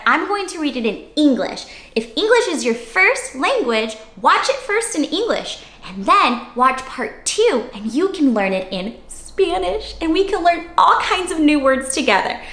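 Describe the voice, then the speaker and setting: A young woman with a high, bright voice reads interactively to small children. She takes her time and exaggerates her delivery, often asking the listeners questions. The sound is roomy, as in an echoey room at home. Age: young adult. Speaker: children's read-aloud host. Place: small furnished room indoors.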